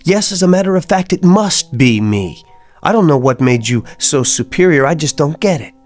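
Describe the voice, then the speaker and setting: A man's voice: a little high, animated, moderate pace, a little mellow, faint music behind, close to an everyday voice. An actor with an American accent playing a slick, boastful, pushy man on the make in a radio drama, speaking with smug insistence. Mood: scornful, pushy.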